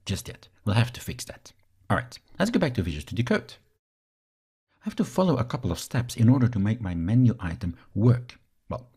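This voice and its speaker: A man from Belgium, a native Dutch speaker, explains each step as an instructor in a software screencast tutorial. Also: on the low side, slightly rough.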